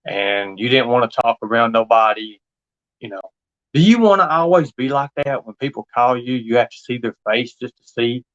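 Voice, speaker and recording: Insistent man, muffled audio. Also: medium pitch. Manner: insistent, repetitive, confrontational. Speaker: livestreamer. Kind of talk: impassioned monologue to an absent person.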